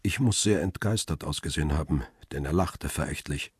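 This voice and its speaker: An actor playing a cool, hard-boiled first-person narrator in a radio crime drama, with a deep husky voice and terse, matter-of-fact delivery. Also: male voice, fairly quick, no echo, clean studio sound, exaggerated voice.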